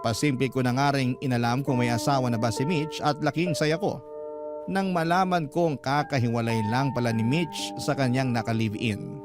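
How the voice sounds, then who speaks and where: A Filipino man playing a lovestruck storyteller, recounting his romance in a measured, confessional Tagalog radio drama narration. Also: mid-range voice, steady tone, lightly acted. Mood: reflective, hopeful. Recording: music behind the voice.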